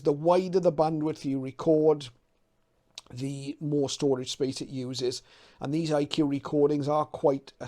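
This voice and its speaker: A man's voice: fairly high pitch, dark tone. A man in his fifties from the UK talking casually to camera as a hobby channel host, explaining things a little hesitantly as he thinks aloud. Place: small cluttered home radio room.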